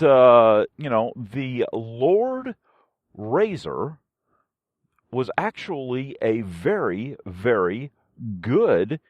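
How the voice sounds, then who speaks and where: A man hosting a podcast, rambling casually through a product review in a folksy, halting way with frequent pauses mid-thought. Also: medium pitch, dry recording, muffled recording. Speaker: podcast host.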